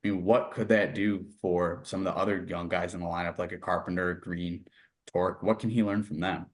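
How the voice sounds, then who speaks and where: A man's voice: sits low, fairly even intonation, moderate pace. A man hosting a sports interview, asking questions in a conversational style.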